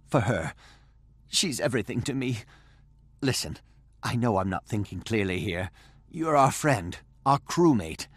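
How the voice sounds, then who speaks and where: A man's voice: lowish voice, husky, dry recording, full character voice. A man playing an anxious, devoted young gnome-like engineer in a video game, earnest and pleading. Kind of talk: video game cutscene acting.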